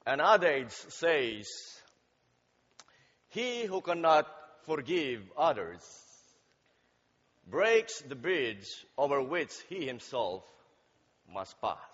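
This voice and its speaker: Slow-speaking man preaching in a church. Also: medium pitch, very animated, fairly bright tone. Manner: slow, deliberate, halting delivery with fillers. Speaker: guest preacher. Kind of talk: sermon with prayer and storytelling. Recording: dull sound with long hall reverb.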